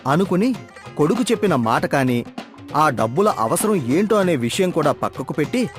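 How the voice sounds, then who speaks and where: Male storyteller, fairly high voice, scripted moral-tale narration over a music bed. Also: sing-song, moderate pace.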